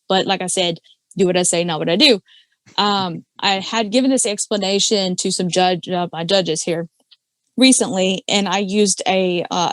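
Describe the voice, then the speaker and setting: A woman, chatting casually as a podcast guest and explaining singing with lots of fillers and restarts. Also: dry recording. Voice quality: low alto voice. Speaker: podcast guest, karaoke singer and judge. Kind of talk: casual chat.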